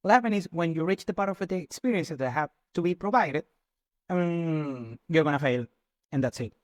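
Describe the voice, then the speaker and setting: A man's voice: on the high side, lively intonation, normal speed, no room sound, clean studio sound. A man, hosting and explaining his views in a casual, lecture-like way with frequent restarts. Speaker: channel host. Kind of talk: casual talk to camera.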